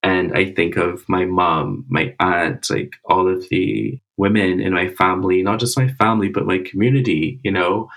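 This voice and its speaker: Deep-voiced man explaining research. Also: creaky, fairly bright tone. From Barbados. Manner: measured and explanatory, with "uh" and "you know" fillers. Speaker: cancer researcher guest. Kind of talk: interview answers.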